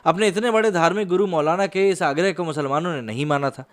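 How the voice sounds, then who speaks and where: A man's voice: a little high, fast talker. A man delivering news analysis commentary, partly scripted, in an emphatic, rhetorical manner. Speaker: commentator presenting a video.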